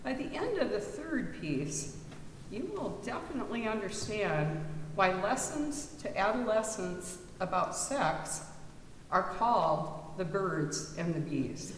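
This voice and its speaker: A woman presenting and reading poetry aloud to an audience, with expressive, measured delivery. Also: medium pitch. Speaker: readers theater presenter and poetry reader. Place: reverberant church, live reading. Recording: roomy church hall, clear background noise.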